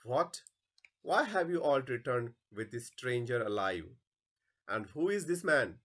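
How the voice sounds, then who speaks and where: An imperious king from a stage play, acted out by a man reading aloud. He is pompous and impatient, giving commands at a slow, deliberate pace. His pitch swings widely as he orders people about. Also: big pitch swings, fairly bright tone. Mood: puzzled, imperious.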